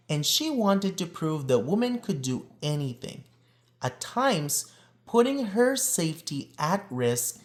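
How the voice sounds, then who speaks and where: A man reading a children's picture book aloud in an expressive, emphatic storytelling manner. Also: fairly high pitch. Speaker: read-aloud presenter.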